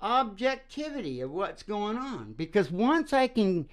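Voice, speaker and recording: A man's voice: high-pitched, sing-song, unhurried. A man hosting a casual philosophical chat, talking in a rambling, folksy, preachy way and often checking that he is agreed with. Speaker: host.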